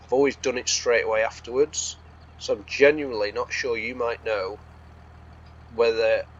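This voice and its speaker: Croaky man chatting over farming gameplay. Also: medium pitch, steady tone, moderate pace, dull audio. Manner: chatty, rambling, thinking aloud. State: slightly hoarse from being unwell. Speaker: host of a gaming series. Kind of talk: casual gameplay commentary.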